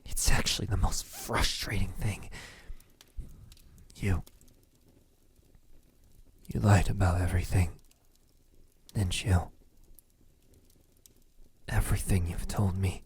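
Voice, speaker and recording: A man playing a brooding vampire in an ASMR roleplay, speaking softly and intimately with a breathy, halting delivery. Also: low-pitched, fairly even intonation, a little hiss or hum. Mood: hurt, frustrated. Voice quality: soft, breathy, husky. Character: lonely, lovesick male vampire, menacing toward humans.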